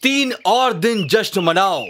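A man's voice: high voice, lively intonation, takes it slowly, a bit bright, a little hiss or hum, pitched above the performer's own voice, exaggerated voice. A man voicing a grave prophet in a children's cartoon, earnestly admonishing people. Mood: stern pleading. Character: grave male prophet warning sinful people. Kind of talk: cartoon story dialogue.

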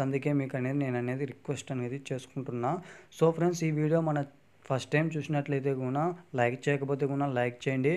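A man's voice: mid-range voice, a little mellow, smooth. A man hosting a phone app tutorial, talking through each step and constantly repeating fillers and "friends". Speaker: tech tutorial host. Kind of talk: screen-recorded app tutorial.

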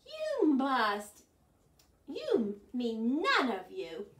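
A woman's voice: sits high, huge pitch swings, very slow, full character voice. A woman voicing a fussy, demanding, melodramatic bird in a children's storybook, with whiny exclamations. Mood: fussy, dramatic. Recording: roomy, distant camera microphone.

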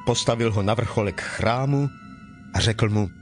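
A male narrator reads scripture in a formal, measured style. His voice sits a little low. Quiet music plays behind him.

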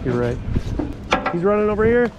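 A man's voice: high-pitched, very animated, fairly quick, dull audio, over loud music. A man working as a fishing guide, casually giving short, repeated instructions and encouragement outdoors on a boat. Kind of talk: casual coaching shouted to anglers during fishing. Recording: loud wind, boat engine, outdoor noise.

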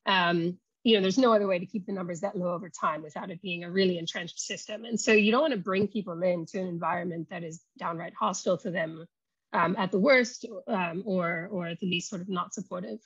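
A woman's voice: lowish voice, steady tone, brisk, breathy edge, no room sound, highs cut off. A woman lecturer giving a conversational academic talk over slides, explaining with frequent ums and you knows. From not South African. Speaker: university oceanography lecturer. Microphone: video call.

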